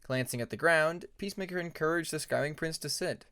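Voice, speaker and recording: A man reading a fantasy story aloud as scripted narration, in a measured storybook manner. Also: fairly high pitch, fairly bright tone, clear, resonant tone. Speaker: fan fiction audiobook narrator.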